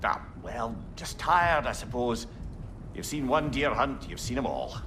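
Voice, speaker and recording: An actor playing a world-weary old companion, wry, wordy and avuncular. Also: male voice, medium pitch, very animated, unhurried, fairly bright tone. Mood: weary, fond. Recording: clear background noise behind voice.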